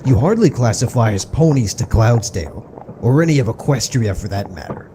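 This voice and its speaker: A man voicing a gruff, bullying pony carriage driver in a horror audio drama, brusque and contemptuous. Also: medium pitch, animated, moderate pace, warm tone, a little hiss or hum, natural voice. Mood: angry, contemptuous.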